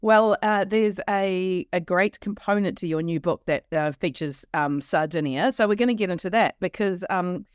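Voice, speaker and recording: A woman with an Australian accent hosting a travel podcast, talking with lively enthusiasm and frequent restarts. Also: lowish voice, moderate pace, few pauses, clean tone, no echo, clean studio sound. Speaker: podcast host. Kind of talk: casual interview chat.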